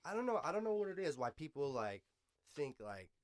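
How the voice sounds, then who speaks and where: An American man chatting casually as a podcast host in street slang, with swearing and a loose, halting delivery. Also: on the high side, very animated.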